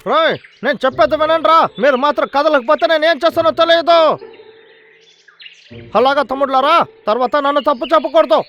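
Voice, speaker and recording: Irritable, very high-voiced cartoon logger. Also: male voice, steady tone, fast, sharp tone, highs cut off, slight background noise, exaggerated voice. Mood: scheming, frustrated. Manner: blustering, scolding, exaggerated. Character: irritable male logger, scheming and easily exasperated. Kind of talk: dubbed children's cartoon acting. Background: music.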